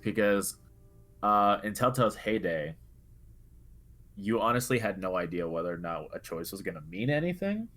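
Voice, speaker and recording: Deep-voiced man, halting casual discussion. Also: very animated, fairly bright tone, soft background music. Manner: halting, thinking aloud. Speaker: co-host on a video game discussion show.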